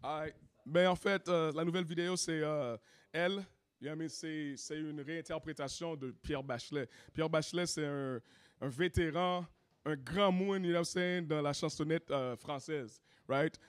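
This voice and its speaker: Haitian guy, rapper, slightly high voice, easygoing, keeps saying you know what i mean. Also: normal speed, clear, resonant tone. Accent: Haitian French. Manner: laid-back, peppers speech with "you know what I mean". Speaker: rapper and singer. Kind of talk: casual interview, mixing French and English.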